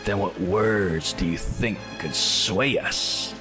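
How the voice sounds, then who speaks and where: A man's voice: low voice, slow, over music. A man playing a menacing, proud pirate captain in an audio drama, with an imperious, formal way of speaking. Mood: haughty, menacing. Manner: imperious, formal, stilted phrasing.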